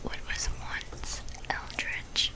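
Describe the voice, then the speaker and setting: A woman playing a sly, seductive vampire mafia lieutenant in an ASMR audio drama, breathy and teasing. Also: very slow, sharp tone, heavily acted. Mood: seductive, hushed. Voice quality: breathy, often whispering.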